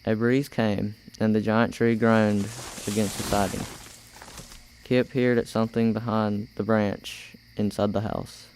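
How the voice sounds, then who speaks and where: A man's voice: lowish voice, little pitch movement, measured pace, darkish tone, a little hiss or hum, low-quality recording. A young man reading scripted story narration for an amateur radio play. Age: teenager or young adult.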